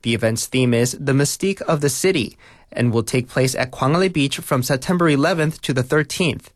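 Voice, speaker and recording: A man reading a scripted local news bulletin in a measured, formal way. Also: mid-range voice, dry recording, clean studio sound. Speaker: news reader.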